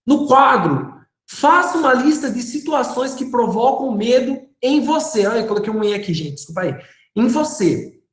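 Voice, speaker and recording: A man's voice: very high voice, lively intonation, moderate pace. A man with a Brazilian Portuguese accent teaching a religious education class online, speaking patiently and checking in with his students often. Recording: roomy echoey room sound.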